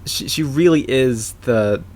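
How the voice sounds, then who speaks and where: A man's voice: mid-range voice, measured pace, a little mellow. A man co-hosting a casual political podcast, bantering in a sardonic, mocking manner. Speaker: podcast co-host.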